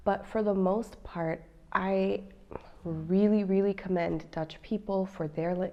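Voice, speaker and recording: A woman's voice: mid-range voice, fairly even intonation, unhurried, muffled recording. An American woman in her thirties, a mom vlogging in a chatty, playful way straight to her viewers. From United States. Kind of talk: casual chat to camera.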